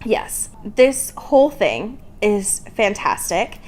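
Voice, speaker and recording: A woman's voice: mid-range voice, big pitch swings, slow pace, a little mellow, slight background noise. A young woman co-hosting a casual, comedic fan podcast in a playful, informal, giggly way, swearing freely. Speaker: podcast co-host.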